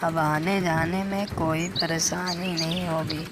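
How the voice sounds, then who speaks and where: A woman's voice: lowish voice, fairly even intonation, takes it slowly, noisy background. A woman playing a character in acted cartoon ghost story dialogue. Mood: chatty. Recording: loud music over the voice.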